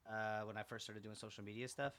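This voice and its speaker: A young man chatting on a podcast in a relaxed, joking way. His voice is bright, and his sentences often trail off softly. He sounds easygoing and a little self-mocking. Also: fairly low pitch, quick pace. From abroad, moved to Finland. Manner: joking, laid-back, trails off quietly. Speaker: guest, event organizer. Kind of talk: casual podcast chat.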